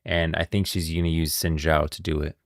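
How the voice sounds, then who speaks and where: Deep-voiced, gravelly male streamer. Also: flat delivery, a little fast, dry recording, studio quality. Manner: musing aloud, questioning. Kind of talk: casual live game lore commentary.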